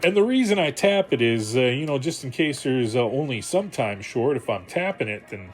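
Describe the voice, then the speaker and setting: A man's voice: medium pitch, sing-song, a little fast, soft background music. A man talking casually and playfully, with enthusiasm, as he hosts a hands-on radio restoration vlog. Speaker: host of a radio repair channel.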